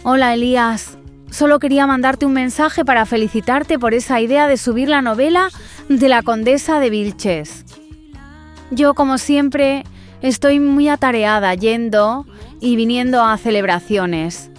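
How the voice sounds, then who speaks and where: A woman's voice: fairly high pitch, a little slow, faint music behind. A woman speaking in a breezy, gossipy way, as in a voice message to a friend. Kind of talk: chatty voice message.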